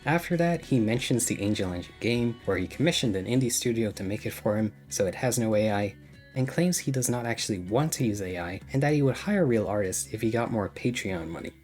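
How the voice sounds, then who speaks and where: Man, lowish voice, sarcastic video-essay narration, faint guitar music underneath. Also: lively intonation, brisk, darkish tone. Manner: sarcastic, critical commentary. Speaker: YouTube commentator.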